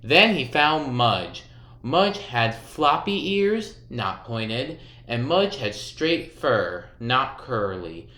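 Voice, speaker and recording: Animated male storybook reader, bright tone. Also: mid-range voice, drawn-out words, echoey room. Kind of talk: children's read-aloud narration.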